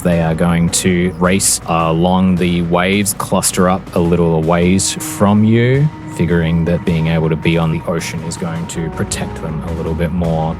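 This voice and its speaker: A man narrating a tabletop game as game master, theatrical and deliberate, telling the action like a dramatic story. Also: sits low. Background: background music.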